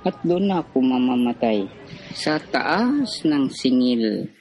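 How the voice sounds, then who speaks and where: A man's voice: medium pitch, very animated, takes it slowly, darkish tone, faint music behind. An actor playing a poor, ailing father who is stubborn and resigned, in a radio drama. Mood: pained, resigned.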